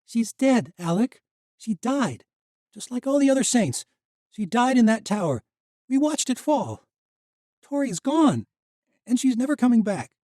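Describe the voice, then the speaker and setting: A man voicing a fierce young woman in grief, sharp and quick to anger, in an audiobook. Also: sits high, big pitch swings, dry recording, clean studio sound, pitched above the performer's own voice. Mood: grieving. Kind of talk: audiobook character voice.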